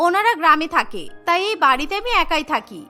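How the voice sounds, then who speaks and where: A woman's voice: high voice, big pitch swings, fast talker, sharp tone, faint music behind, full character voice. A woman voicing a guarded, sly young woman in a cartoon, with a questioning, defensive manner. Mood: innocent, defensive. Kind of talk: cartoon character dialogue.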